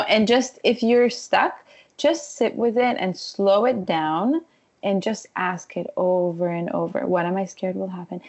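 A woman from Israel, a wellness coach, speaking as a podcast guest and telling stories animatedly. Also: medium pitch. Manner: animated storytelling, frequent laughs. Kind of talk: conversational interview.

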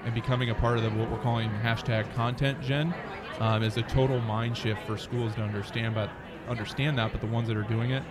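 American man, even-toned, over crowd chatter. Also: mid-range voice, a little fast. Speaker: ed-tech startup founder, interview guest. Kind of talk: conversational interview pitch. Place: busy conference venue near an expo hall. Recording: busy crowd chatter behind the voice.

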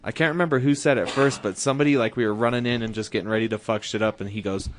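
A young man telling a story on a podcast in a casual, animated way, laughing often. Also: on the low side, very fast, slight background noise. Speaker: podcast guest.

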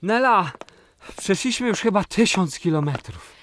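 Curious jokey little boy, high voice, super animated, kids audio story. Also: measured pace, slight background noise, heavily acted. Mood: whiny, reluctant. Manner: exclaiming, inquisitive, cheeky. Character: playful, joking little boy, curious and excitable. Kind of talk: children's audio play acting.

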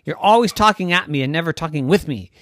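A storyteller voicing a talking house cat who is fed up at never being understood, in a squeaky indignant voice. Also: expressive, quick pace, fairly bright tone, dry recording, lightly acted. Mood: aggrieved.

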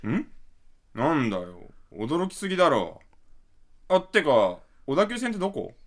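A flippant young man put on by a male actor for a radio drama. His voice sits fairly high and swings about in pitch. He is excitable, slangy and obsessed with the latest trends. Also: measured pace. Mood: showy, amused. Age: young adult.

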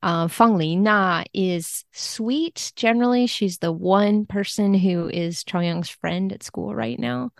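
A woman's voice: mid-range voice, slow pace, gravelly, few pauses, no room sound, studio quality. A woman speaking as a podcast guest in a casual interview, enthusiastic and informal about her work as a literary translator.

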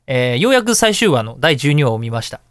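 A man's voice: mid-range voice, sing-song, a little fast, a bit bright, clean tone, no room sound, clean studio sound. A man hosting a casual radio show, chatting in a jokey, self-deprecating way. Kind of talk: casual solo radio talk. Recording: background music under the voice. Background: music.

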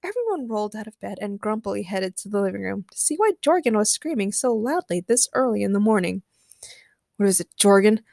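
A woman's voice: mid-range voice, very animated, fast talker, vocal fry, hardly any pauses, mellow, dry recording, studio quality. A woman narrating a fan fiction story as a podcast host.

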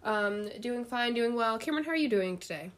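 A woman's voice: fairly high pitch, expressive, a little fast. A woman co-hosting a podcast in a casual, chatty storytelling way, slightly stuffed up with a head cold and full of filler words and quoted dialogue. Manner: chatty, filler-heavy, quotes people animatedly. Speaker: podcast co-host. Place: bedroom, sitting on a bed.

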